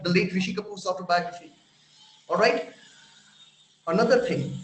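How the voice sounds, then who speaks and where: Indian English man, fairly high voice, lecturing a webinar with insistent emphasis, roomy echo. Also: a bit bright. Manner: emphatic, preachy, asks the audience rhetorical questions. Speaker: webinar guest speaker. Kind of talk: motivational lecture to students.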